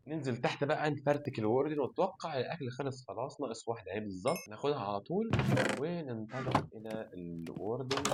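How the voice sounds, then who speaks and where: Egyptian man, gaming host, rapid-fire, lowish voice, sore throat, background noise. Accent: Egyptian Arabic. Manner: chatty, addresses viewers, excitable and exasperated. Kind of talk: let's-play gaming commentary.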